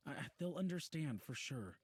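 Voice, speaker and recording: A man voicing a gentle, concerned man in a visual novel game, with a husky voice and an earnest, hesitant manner. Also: a little high, very animated, moderate pace, dry recording, full character voice. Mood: worried, tender.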